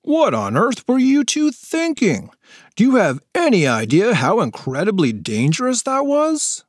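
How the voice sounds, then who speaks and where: A man's voice: sits high, wildly swooping pitch, unhurried, no echo, studio quality, pitched above the performer's own voice, full character voice. A man voicing a stern adult security worker at a fair, scolding and matter-of-fact.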